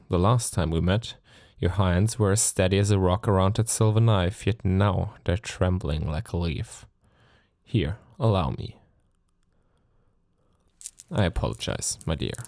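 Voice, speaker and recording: Man acting as a deep-voiced, seductive vampire lord. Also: a little slow, darkish tone, dry recording, clean studio sound. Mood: mock concern. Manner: silky, theatrical, condescending politeness with lingering phrases. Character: suave, sadistic male vampire lord, mocking and seductive. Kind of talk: intimate ASMR roleplay acting.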